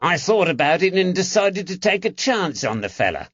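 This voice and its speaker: A man's voice: high voice, big pitch swings, moderate pace, fairly bright tone, breathy edge, no room sound, dull audio, voice pushed up, exaggerated voice. A man voicing a snivelling, indignant Cockney moneylender in an audiobook, whining and pleading defensively. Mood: defensive, wheedling. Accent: London Cockney. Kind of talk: audiobook character acting.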